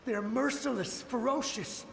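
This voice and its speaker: Ghost soldier for a game: high voice, desperate, halting, pleading, drenched in long reverb. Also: male voice, vocal fry, a little hiss or hum, heavily acted. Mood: fearful, urgent. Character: desperate ghost of a fallen soldier, fearful, devoted. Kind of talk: dramatic video game voice acting.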